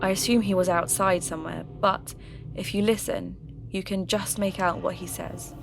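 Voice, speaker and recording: A woman playing a sceptical, intrigued local radio host who investigates ghost stories in measured, scripted podcast narration. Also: medium pitch, steady tone, vocal fry, natural voice. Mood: intrigued, uneasy. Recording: music bed under voice.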